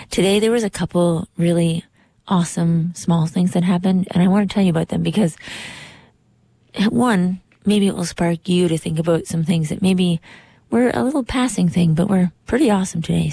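A hoarse woman hosting a radio show from the studio, chatting in an upbeat, rambling way and correcting herself as she goes. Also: fairly low pitch, mellow, dry recording. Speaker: radio host. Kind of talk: casual radio show chat. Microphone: studio microphone.

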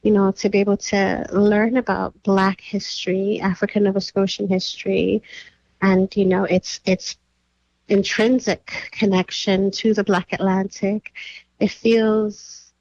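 A woman from Nigeria, a curator and writer, answering interview questions thoughtfully with many pauses and fillers. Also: medium pitch, little pitch movement, darkish tone, dry recording, highs cut off.

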